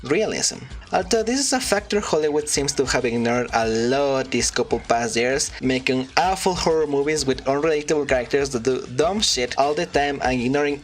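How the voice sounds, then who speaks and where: A man narrating a scripted video essay with wry, rambling asides. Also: fairly high pitch.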